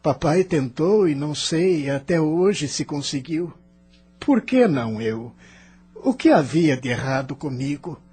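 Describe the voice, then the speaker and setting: A man's voice: on the high side, lively intonation, unhurried, warm tone, slightly rough. A Brazilian man acting a bitter, self-justifying spirit in the afterlife in an audio drama, defensive and questioning. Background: music.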